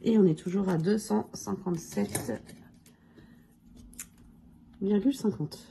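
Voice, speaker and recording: A woman with a cold and a hoarse voice, casually going through her budget challenges and counting money aloud with lots of fillers. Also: mid-range voice, slow, warm tone, a little hiss or hum. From France. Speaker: budget vlogger. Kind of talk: casual budget review talk.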